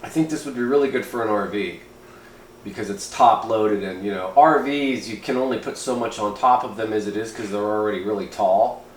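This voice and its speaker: A man's voice: lowish voice, fairly quick. A man giving a casual, folksy hobbyist product review, sometimes reading aloud in an informal way. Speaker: amateur radio hobbyist reviewing gear. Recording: roomy echo, steady background noise.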